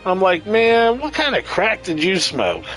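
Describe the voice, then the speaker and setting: A man's voice: sits high, huge pitch swings, measured pace, a bit bright, soft background music. A man doing casual live gameplay commentary as a streamer, irreverent, sarcastic and freely profane. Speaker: game streamer.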